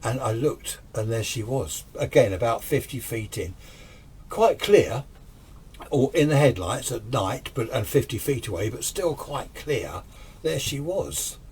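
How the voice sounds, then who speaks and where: A man's voice: medium pitch, expressive, unhurried, a little mellow, breathy edge. A British man telling a personal story informally and conversationally, acting out what each person said. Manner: conversational, acts out reported dialogue.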